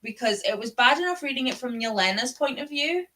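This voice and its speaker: Bright-voiced woman gushing in casual chat. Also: high-pitched, lively intonation, fairly quick, slight background noise. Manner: gushing, sweary, enthusiastic fan talk. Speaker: book club live show host. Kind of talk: casual chat about books.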